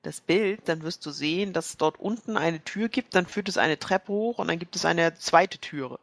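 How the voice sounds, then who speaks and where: A woman's voice: lowish voice, animated, normal speed, no room sound. A young woman taking part in a tabletop role-playing game, casually describing what her character does and chatting with the group.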